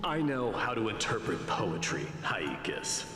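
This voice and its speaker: An actor playing a proud, stern warrior crown prince in a video game, speaking in a curt, formal, haughty way. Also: male voice, on the low side, sing-song, a little slow, reverberant, big-room sound, loud music behind the voice. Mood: proud, irritated.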